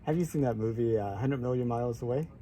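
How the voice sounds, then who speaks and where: A man with a mellow, relaxed tone, asking questions in a casual, hesitant way with plenty of uhs and ums. A faint noise sits behind his voice. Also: mid-range voice, quick pace. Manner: hesitant, uses uh and um often. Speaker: interviewer. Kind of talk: casual interview.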